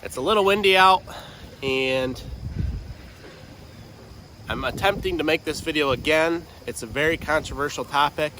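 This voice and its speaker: Man with a head cold, stuffy-voiced; rambling, halting farm vlog outdoors, faint wind and insect noise on a camera mic. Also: on the high side, pushed and bright, rough recording. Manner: rambling, hesitant, many ums. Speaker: farm vlogger. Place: outdoors in a windy pasture. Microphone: camera mic, no external mic.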